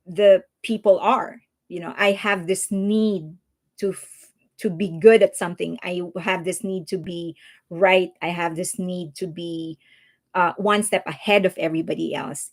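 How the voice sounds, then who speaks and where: A woman from the Philippines with a Filipino accent, hosting a casual livestream and answering viewer comments in a chatty, earnest way. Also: mid-range voice. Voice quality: chatty, earnest, frequent restarts and fillers. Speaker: livestream host.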